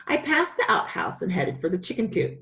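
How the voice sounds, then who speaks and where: Woman, teacher read-aloud, children's storybook, highly animated pitch, dramatic delivery. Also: a little fast.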